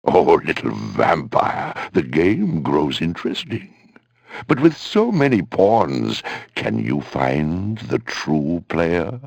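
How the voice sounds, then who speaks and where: Sinister game villain, rock-bottom pitch, wildly swooping intonation, mocking, menacing, otherworldly. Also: male voice, wildly swooping pitch, slow, creaky, a little mellow, slightly rough, no room sound, heavily acted. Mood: gloating menace. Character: sinister, taunting male otherworldly schemer.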